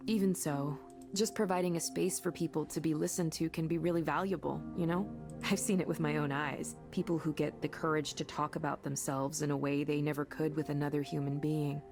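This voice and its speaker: An earnest, creaky-voiced female colleague. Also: sits low, steady tone, brisk, over music. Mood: earnest, encouraging. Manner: earnest, conversational, gently encouraging. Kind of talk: naturalistic visual novel voice acting.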